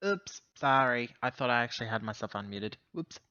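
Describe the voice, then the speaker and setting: Very bright, clear man on voice call. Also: medium pitch, lively intonation, fairly quick, dry recording. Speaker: tabletop game player. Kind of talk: casual game chat. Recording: dull, dry close voice-call audio.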